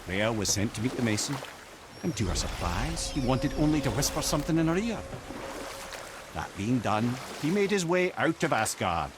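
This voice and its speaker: An actor playing the witty talking head of a wise old storyteller in a video game, eloquent and theatrical with wry asides. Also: male voice, medium pitch, big pitch swings, normal speed, background noise, lightly acted. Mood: storytelling.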